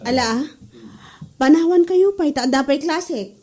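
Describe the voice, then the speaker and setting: A woman's voice: high voice, animated, unhurried, dark tone. A woman playing a chirpy teacher in a comedy audio drama, with a Northern Philippine accent. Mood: brisk.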